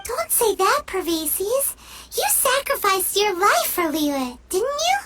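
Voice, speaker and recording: A tiny chirpy fairy girl, extremely high and squeaky. Her delivery swings brightly up and down with excitable energy, in video game companion style, over loud music. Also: slow, full character voice. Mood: gentle, concerned. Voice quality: squeaky, childlike. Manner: speaks of herself in third person, exclaiming. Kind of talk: video game character acting.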